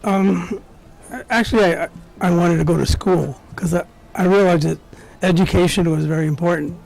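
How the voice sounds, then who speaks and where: Higher, creaky-voiced older man reminiscing. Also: moderate pace, a little mellow, rough recording. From born in Mexico, grew up in the United States from early childhood. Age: 60s. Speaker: interview guest, former track runner. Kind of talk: casual personal recollection.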